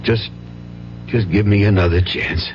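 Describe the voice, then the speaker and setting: An actor playing a remorseful, pleading husband and father with a gambling habit, in old-time radio drama style with halting, earnest delivery. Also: on the low side, steady tone, lightly acted. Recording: muffled, noisy old radio broadcast with hum.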